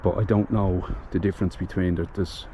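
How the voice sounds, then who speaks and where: A man's voice: sits low, little pitch movement, fairly quick, warm tone, slight background noise. A man with a Dublin accent, talking casually and from the heart as he vlogs outdoors, with lots of fillers and restarts. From Ireland. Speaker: vlogger. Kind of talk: casual vlog commentary.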